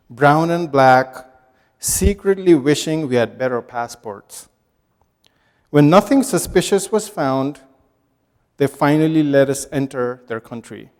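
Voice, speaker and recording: A man from Nepal delivers a prepared talk in a reverberant lecture hall. His voice sits a touch high, and he tells his story in a measured, reflective way. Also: darkish tone. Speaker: guest speaker.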